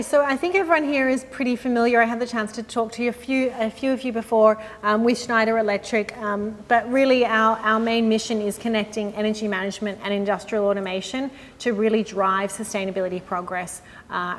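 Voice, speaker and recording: A woman, a sustainability consultant giving a live industry presentation in a room with an audience, in a friendly, measured way with frequent "um" and "uh". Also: on the high side, steady tone. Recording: long hall reverb with faint noise.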